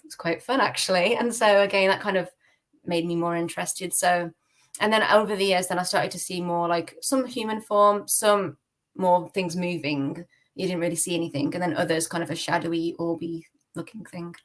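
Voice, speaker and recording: A woman's voice: lowish voice, fairly even intonation, moderate pace. A woman from the UK with a British accent, giving personal testimony as an interview guest in a candid, informal way, often using filler phrases like "kind of". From United Kingdom. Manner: candid, conversational, peppered with "like" and "kind of".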